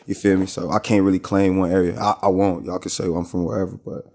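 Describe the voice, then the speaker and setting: A man's voice: low voice, level tone, fast talker, warm tone. An American man from Minnesota chatting casually as a podcast guest, with slangy, rambling storytelling. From Minnesota, United States. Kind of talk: casual interview chat.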